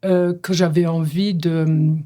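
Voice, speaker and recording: A woman's voice: low voice, level tone, takes it slowly, mellow. A woman whose first language is German, a stage director speaking in a reflective conversation, thinking aloud and searching for words with frequent hesitations.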